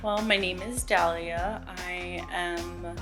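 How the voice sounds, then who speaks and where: A woman's voice: on the low side, steady tone, slow. A woman in her thirties from Southern California, talking casually in an interview with lots of fillers. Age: 30s. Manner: casual, chatty, many fillers, laughs easily. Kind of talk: candid sit-down interview. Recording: music bed behind voice.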